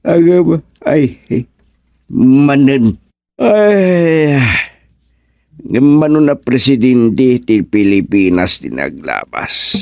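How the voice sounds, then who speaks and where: A man's voice: mid-range voice, big pitch swings, very slow, gravelly, dark tone, no echo, studio quality, exaggerated voice. A man playing a grumbling, self-important old husband in an Ilocano radio comedy, muttering to himself with frequent exclamations.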